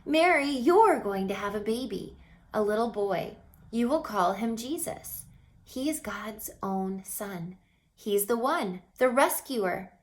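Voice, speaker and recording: A woman voicing a gentle, glad male angel in a storybook, speaking in a reassuring, deliberate way. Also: mid-range voice, expressive.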